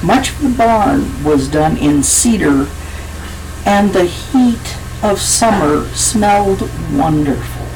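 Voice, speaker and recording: A woman's voice: fairly low pitch, lively intonation, takes it slowly, darkish tone, slight background noise, low-quality recording. A woman reading her own story aloud in a plain, self-deprecating way, now and then stumbling over words. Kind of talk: unhurried story reading, then casual sign-off.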